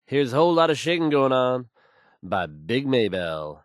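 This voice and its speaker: An actor playing a smooth, self-assured male radio DJ and announcer in a video game. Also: medium pitch, very animated, normal speed, no echo, clean studio sound, close to an everyday voice. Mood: smooth, easygoing.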